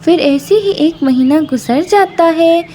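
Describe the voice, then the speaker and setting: Kids cartoon story narrator, super high voice, slow, faint birdsong noise behind. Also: dark tone.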